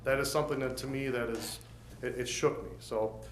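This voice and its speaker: A man's voice: medium pitch, fairly even intonation, fairly quick. A man serving as mayor, giving plain-spoken, earnest remarks at a city council meeting. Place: council chamber. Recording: roomy chamber with faint noise.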